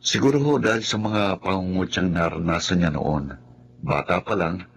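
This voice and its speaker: A man's voice: low voice, normal speed, breathy edge. An actor playing a mild, patient older brother and family man, with a Filipino accent, in a TV drama. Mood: resigned, understanding.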